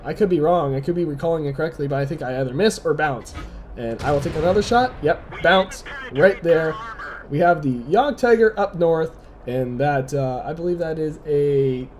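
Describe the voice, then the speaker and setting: Young male gamer commentator, slightly hoarse, high, mellow voice, relaxed and chuckling. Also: slight background noise. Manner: relaxed, chuckling, analytical play-by-play. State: slightly hoarse, dry throat. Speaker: gameplay commentator. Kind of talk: casual replay commentary.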